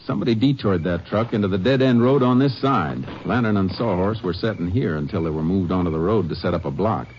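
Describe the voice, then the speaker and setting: An actor playing a steady, methodical Texas Ranger investigator in a 1950s radio drama, talking in a calm, matter-of-fact way. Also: male voice, low voice, fairly quick, few pauses, lightly acted. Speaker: Ranger lead and narrator. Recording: dull lo-fi old radio recording with faint hiss.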